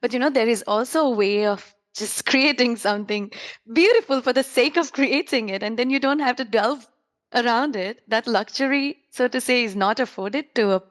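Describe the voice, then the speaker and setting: Musing woman interviewer, a bit high-pitched. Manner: warm, musing, with fillers like "you know". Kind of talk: conversational interview.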